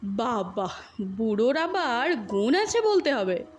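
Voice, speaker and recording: A woman voicing a gentle, coaxing young husband who teases his new wife in an audio story. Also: a little high, sing-song, slow pace, full character voice. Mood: teasing.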